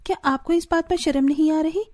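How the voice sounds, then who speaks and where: A woman's voice: sits high, steady tone, fairly quick, darkish tone, natural voice. A woman voicing an earnest, dutiful young daughter-in-law, caring but firm, in a cartoon story. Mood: reproachful. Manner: earnest, pleading. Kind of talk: Hindi cartoon story voice acting.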